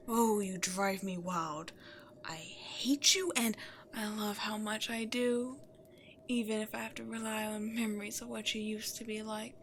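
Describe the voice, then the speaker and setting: A woman's voice: mid-range voice, a little slow, fairly bright tone, a little hiss or hum. A woman voicing an imperious, seductive demon queen in a scripted audio roleplay, theatrical and haughty, addressing the listener directly. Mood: seductive gloating. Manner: theatrical, breathy asides, haughty. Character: imperious demon queen, seductive, gloating, easily exasperated villain.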